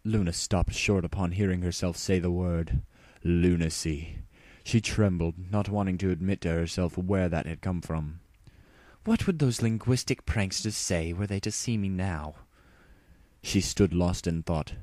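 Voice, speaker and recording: A man with a deep voice narrates a story in a dramatic audiobook style. The recording is dry, with very little room sound around the voice. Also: a little slow, darkish tone, no echo.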